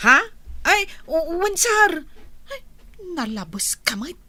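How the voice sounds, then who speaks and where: An actress playing a flustered, meek housemaid who stammers, in a radio drama. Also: female voice, very high voice, big pitch swings, slow, sharp tone, dry recording, exaggerated voice. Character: flustered housemaid scolded by her boss, muttering back. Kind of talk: Ilocano radio drama acting.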